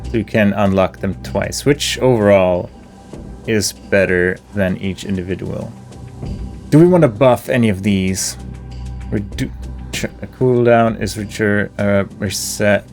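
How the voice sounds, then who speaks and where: A man commentating his gameplay, thinking aloud as he weighs options, with pauses and fillers. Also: low voice, a little mellow. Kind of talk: thinking aloud while playing.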